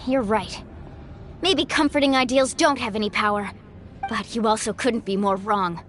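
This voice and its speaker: Woman acting spirited, high-voiced young game heroine. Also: moderate pace, soft background music, close to an everyday voice. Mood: resolute. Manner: earnest, energetic, bold. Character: brave, spirited young woman warrior, loyal friend. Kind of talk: anime-style video game acting.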